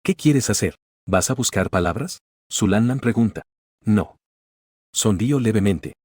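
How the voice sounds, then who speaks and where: A man narrating a comic story in a flat, even read that treats quoted lines the same as the narration. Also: lowish voice, a little slow, breathy edge, no room sound, clean studio sound.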